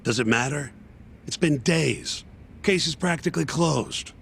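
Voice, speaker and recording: An actor in an English game dub playing a brash, flirty middle-aged lawyer with a crass, casual manner. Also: male voice, on the high side, measured pace, creaky, slight background noise. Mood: dismissive.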